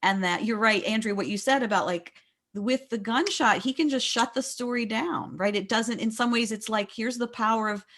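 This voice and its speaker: A woman's voice: a little high, moderate pace, fairly bright tone. An American woman leading a book discussion, speaking in a reflective, explanatory way and often rephrasing mid-sentence. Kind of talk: thoughtful literary discussion.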